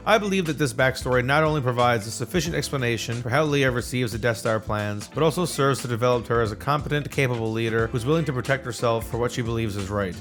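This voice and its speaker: A man narrates a scripted video essay at a quick pace. His pitch is mid-range, and music plays clearly behind him. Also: a bit bright. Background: background music.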